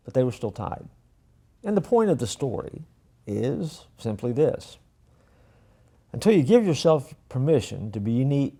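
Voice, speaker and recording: A man tells a church story in a relaxed, folksy way, with a touch of self-mockery. His voice is mellow and a little gravelly, and his phrase ends often fade down soft. The pitch sits in a middle range for a man. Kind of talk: casual storytelling with a moral.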